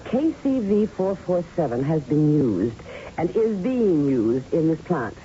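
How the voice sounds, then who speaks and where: A young woman physician with a dark, expressive voice. She is earnest, formal and unbending. The sound is that of a muffled old radio drama. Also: low-pitched, a little slow. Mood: indignant, resolute. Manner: firm, formal, precise. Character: earnest, principled young female plant doctor. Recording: muffled, faintly noisy old radio recording.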